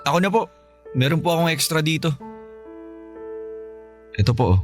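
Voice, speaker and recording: A man's voice: fairly high pitch, animated, moderate pace, faint music behind, lightly acted. An actor playing a polite, generous young man with a Filipino accent in a radio drama. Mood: helpful, respectful. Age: young adult. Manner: respectful, considerate. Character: Wawi, polite, generous young working nephew.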